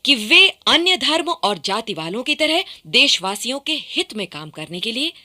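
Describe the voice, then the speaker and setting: A woman reading scripted radio documentary narration with formal, expressive enunciation. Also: a little high, normal speed.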